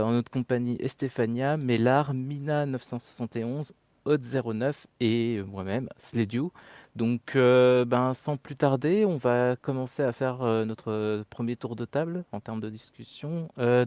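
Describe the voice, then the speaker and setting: A man's voice: medium pitch, a little mellow, clear, resonant tone, no echo. Man hosting, hesitant with fillers, dry sound. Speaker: podcast host. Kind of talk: hosting a casual discussion. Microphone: home microphone on voice chat.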